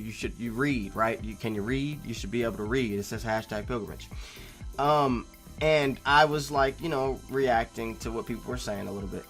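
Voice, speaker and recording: A man's voice: mid-range voice, fairly bright tone. Rambling, emphatic man vlogging over music. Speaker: YouTube vlogger. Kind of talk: casual opinionated commentary, replying to viewer comments.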